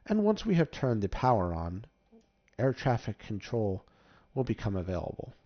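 A man with a fairly low voice walks through a game as tutorial host, casual and instructional. The recording is a little dull. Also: big pitch swings, normal speed. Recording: slightly dull, muffled microphone.

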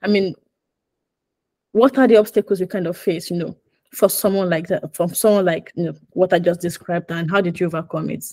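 A woman's voice: lowish voice, fairly even intonation, fairly quick, a little mellow. A young woman moderating a webinar, asking long, winding questions over a video call.

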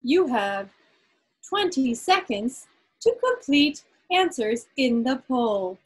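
A woman's voice: sits high, sing-song, slow, slightly rough. A woman casually moderating a group poll on a video call as the meeting's host. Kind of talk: casual live event moderation.

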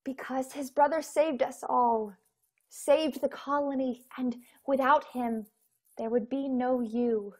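A woman in her twenties acting a gentle mother, once a starship engineer, in a radio play over a video call. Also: sits high, steady tone, slow. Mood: hushed, reluctant.

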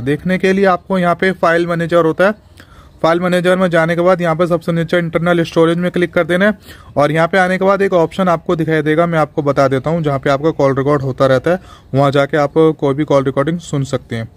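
A man hosting a phone tutorial, explaining in an instructional way and repeating each step. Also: fairly high pitch. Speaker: tech tutorial channel host.

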